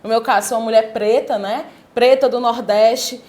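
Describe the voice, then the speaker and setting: A woman's voice: a little high, fairly even intonation, moderate pace, darkish tone. A woman from Ceará with a Cearense accent, giving reflective interview answers full of tag questions. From Sobral, Ceará, Brazil. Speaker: guest, cultural worker.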